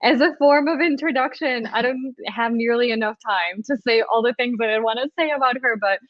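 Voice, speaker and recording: A woman's voice: fairly high pitch, brisk, no echo. A woman from Serbia co-hosting a conversational show and introducing a guest in an effusive manner over an online call. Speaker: podcast co-host, organizational psychologist. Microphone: remote online call. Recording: dull, lo-fi call audio.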